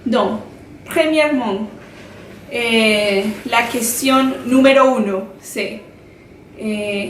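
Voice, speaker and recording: A woman's voice: a little high, extremely slow. A young woman from Colombia speaking French with a Colombian Spanish accent, talking frankly and chattily to camera as a vlogger and laughing between points. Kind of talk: casual talk to camera. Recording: roomy sound from a distant mic, faint hiss.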